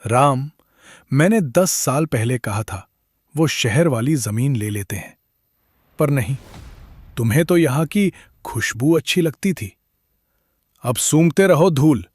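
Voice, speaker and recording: A man voicing a sour, blaming village man in a cartoon story, sarcastic and nagging in a husky voice. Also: medium pitch, expressive, slow, pauses often, a little mellow, no room sound, clean studio sound. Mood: bitter, sarcastic. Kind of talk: animated moral story dialogue.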